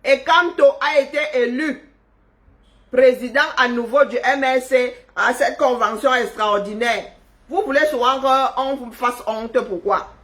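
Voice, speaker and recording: A woman delivers teasing, scolding commentary to viewers through her phone or webcam. She talks slowly and drawls her words, mocking her critics with sarcasm. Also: sits high, a bit bright. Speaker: online commentator. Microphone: webcam or phone on a screen.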